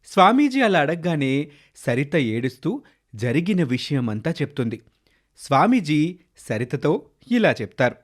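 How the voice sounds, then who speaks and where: A man's voice: on the high side, sing-song, a little slow, long pauses. A man narrating a scripted children's moral story.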